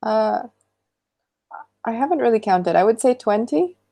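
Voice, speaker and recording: A woman's voice: fairly high pitch, measured pace, vocal fry. A young woman from the Philippines, an English tutor, chatting casually on a video call and laughing often. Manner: relaxed, laughs often, agreeable. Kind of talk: casual online conversation lesson.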